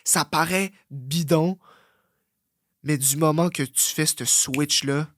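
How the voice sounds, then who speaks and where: A young man with a Québécois French accent, hosting a blunt, profane motivational podcast that swings from loud outbursts to low muttering. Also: slow pace, no room sound, studio quality. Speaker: fitness coach and podcast host.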